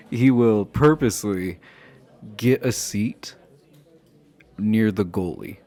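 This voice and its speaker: A man playing a low-voiced, slow, flirty himbo jock. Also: warm tone. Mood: amused.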